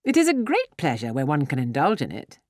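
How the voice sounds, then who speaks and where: A woman voicing a well-bred, agreeable young gentleman in an audiobook. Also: on the high side, wildly swooping pitch, brisk, dry recording, clean studio sound. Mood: agreeable, smooth. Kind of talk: audiobook character dialogue.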